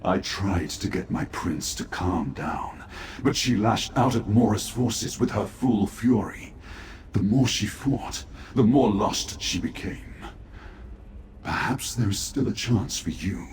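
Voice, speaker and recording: An actor playing a despairing but loyal servant of a fallen ruler in a fantasy video game, speaking gravely and formally with urgency. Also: male voice, lowish voice, slow, darkish tone. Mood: grave, resigned. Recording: echoey room, faint background noise.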